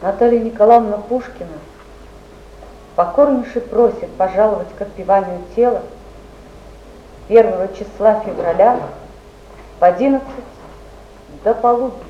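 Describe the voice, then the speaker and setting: A man's voice: sits high, moderate pace, pauses often, a little hiss or hum, close to an everyday voice. An actor playing a solemn nineteenth-century man announcing a death in theatrical declamation. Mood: formal, proclaiming. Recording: echoey muffled stage recording.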